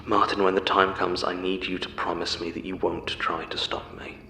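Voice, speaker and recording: A man playing an anguished, guilt-ridden character in an audio drama, halting, intense and brooding. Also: low-pitched, flat delivery, pushed and bright, long reverb tail, a little hiss or hum. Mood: solemn, hushed.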